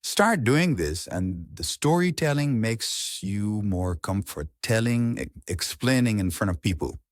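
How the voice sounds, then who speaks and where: A man with an Indian accent giving an informal instructional talk in an earnest, halting way, repeating words for emphasis. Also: lowish voice, expressive, dry recording, clean studio sound. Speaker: public speaking coach.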